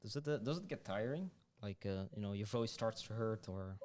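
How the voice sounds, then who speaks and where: A woman with an unusually deep voice hosts a casual podcast chat. She asks curious questions in a relaxed, easy way. Between questions she gives short reactions. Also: a little fast. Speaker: podcast host.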